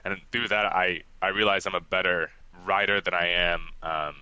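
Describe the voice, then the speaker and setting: A young man who grew up in Ghana, talking casually as a podcast guest, relaxed and chatty and quick to laugh. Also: low-pitched, clear, resonant tone, no echo, muffled recording. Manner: relaxed and chatty, laughs often, many "like" fillers. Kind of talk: casual interview talk.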